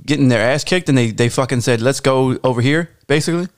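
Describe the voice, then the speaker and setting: Podcast host: young American man, loose and giggly, mid-pitched voice. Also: fast, smooth. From United States. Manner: laughing, rambling, curious. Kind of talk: casual chat.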